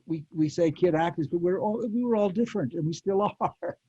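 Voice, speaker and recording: A dry, slightly muffled recording of an older American man reminiscing on a panel. His voice is dark and mellow, and he talks haltingly and reflectively, feeling his way through his thoughts. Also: sits high, husky, no room sound. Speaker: panel guest, former child actor and TV director. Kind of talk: reminiscing in an online panel interview.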